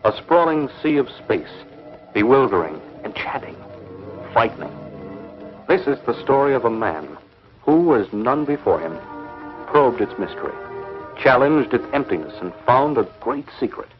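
An actor playing a grave, portentous narrator of a 1950s television space serial, speaking in measured dramatic style. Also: male voice, medium pitch, big pitch swings, natural voice. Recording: muffled old 1950s film soundtrack with music.

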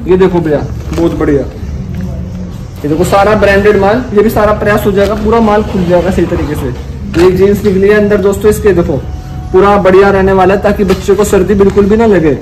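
A young North Indian man with a notably high voice pitches wholesale goods to viewers in repetitive, informal patter. He is recorded in a reverberant warehouse with background noise, the camera a little way off. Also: a little mellow. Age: 20s. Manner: repetitive salesman's patter, addressing viewers as friends. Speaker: wholesale clothing seller and vlogger. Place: large indoor warehouse full of clothing bales. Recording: echoey room with clear background noise.

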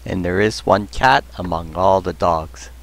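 Man, slightly low voice, relaxed tour-guide patter, camera mic outdoors with heavy street noise. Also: measured pace, creaky. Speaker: walking tour vlogger. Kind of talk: casual walking tour narration. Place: outdoors on busy city streets and indoor market. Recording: loud street noise behind voice. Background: city traffic and street ambience.

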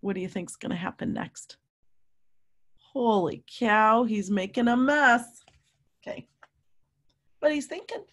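A woman in her fifties reading a story aloud to young children, with animated, drawn-out emphasis and questions to her listeners. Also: a little high. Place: room at home.

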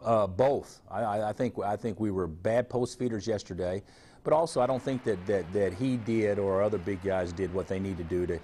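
A man's voice: fairly low pitch, normal speed, warm tone, a little hiss or hum. A man in his forties, a college basketball coach, answering TV interview questions frankly, often restarting and repeating words. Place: studio remote booth.

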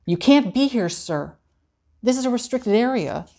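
A man's voice: very high voice, lively intonation, moderate pace, creaky, mellow, voice pushed up, exaggerated voice. A young man reading aloud, voicing a pushy female fair employee in a storybook reading. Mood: insistent.

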